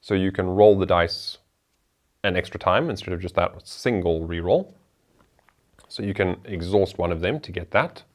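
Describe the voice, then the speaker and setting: A man's voice: low-pitched, normal speed. A man hosting a board game show, talking through the rules and each move of a solo playthrough in a chatty way.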